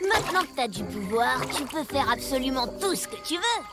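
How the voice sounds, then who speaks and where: Cartoon kid, piercingly high, animated intonation, brash, teasing, loud music behind. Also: female voice, brisk. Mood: scheming. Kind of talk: French cartoon dubbing.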